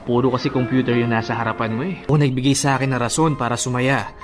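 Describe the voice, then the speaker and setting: Actor as an earnest suitor, mid-pitched man. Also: steady tone, fast, over music, close to an everyday voice. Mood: tender. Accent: Filipino. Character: devoted, persistent male friend and suitor, earnest. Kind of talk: Filipino radio romance drama acting.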